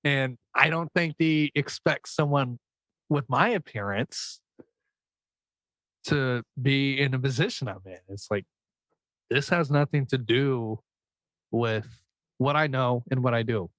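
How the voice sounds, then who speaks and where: A young adult podcast host talking in a relaxed, rambling way, full of fillers. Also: medium pitch, slow pace, pauses often, dry recording, rough recording. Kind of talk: casual podcast conversation.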